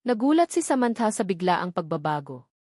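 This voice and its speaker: A woman's voice: lowish voice, big pitch swings, fairly quick, a little mellow, no room sound. Woman narrating a drama, close dry sound. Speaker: audiobook narrator. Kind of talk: dramatic scripted story narration.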